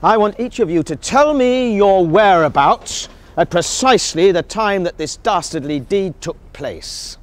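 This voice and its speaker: Pompous English detective for a whodunnit spoof: declamatory, theatrical, swooping delivery. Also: male voice, sits high, expressive, measured pace, hardly any pauses. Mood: commanding. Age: middle-aged. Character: pompous, theatrical English male detective in a country-house murder mystery. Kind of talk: comic whodunnit acting.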